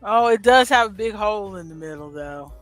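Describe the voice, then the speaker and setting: A woman's voice: medium pitch, lively intonation, a little fast, soft background music. A woman chatting casually as co-host of a livestream. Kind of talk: casual chat.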